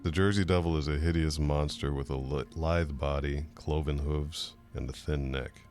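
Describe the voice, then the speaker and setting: A very deep-voiced, easygoing teaser. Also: male voice, fairly even intonation, moderate pace, darkish tone, faint music behind. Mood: teasing, amused. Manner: easygoing, droll, informal. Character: laid-back, teasing male friend, mock-dramatic. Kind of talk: naturalistic audio drama acting.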